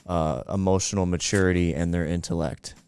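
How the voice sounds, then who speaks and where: Male podcast co-host, very low pitch, dry close sound, easygoing informal delivery, unscripted conversation. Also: steady tone, brisk, vocal fry, darkish tone, smooth, no room sound. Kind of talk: casual unscripted chat.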